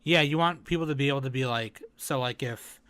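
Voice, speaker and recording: A woman's voice: a bass voice, fairly quick, fairly bright tone, dry recording. A woman hosting a podcast interview in an informal, teasing, sweary way. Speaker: podcast host. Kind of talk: casual interview chat.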